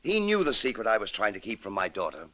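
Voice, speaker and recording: Anguished male ex-con, tense bright voice, wide swings, old-time radio melodrama. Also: lowish voice, wildly swooping pitch, quick pace, exaggerated voice. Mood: defeated, confessing. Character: anguished, hot-tempered ex-convict father, despairing.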